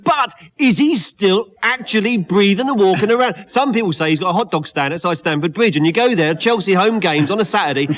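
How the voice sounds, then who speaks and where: A man improvising a surreal comic riff on a panel show, in a deadpan, absurdist manner. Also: high-pitched, fairly quick, hardly any pauses. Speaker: comedy panellist.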